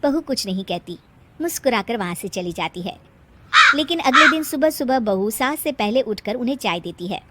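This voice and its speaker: A woman's voice: on the high side, expressive, moderate pace, very noisy. A woman narrating a scripted moral story as a storyteller.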